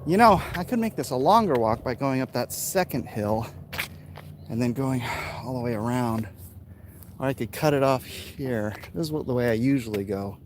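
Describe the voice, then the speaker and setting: Middle-aged american dude chatting offhand while walking, kinda out of breath, normal pitch, noisy recording outside. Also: male voice, expressive, normal speed. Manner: offhand, self-deprecating, talking while exerting himself. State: winded from walking uphill. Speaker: vlogger, artist. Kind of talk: casual rambling walk-and-talk to viewers. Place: outdoors, walking hilly residential streets.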